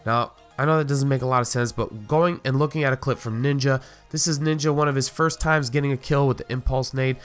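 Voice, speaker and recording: A man's voice: medium pitch, fairly even intonation, a little fast, few pauses, fairly bright tone, soft background music. A man hosting a casual gaming commentary video, sounding nasal from a cold and rambling with lots of fillers and restarts. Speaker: gaming YouTuber host.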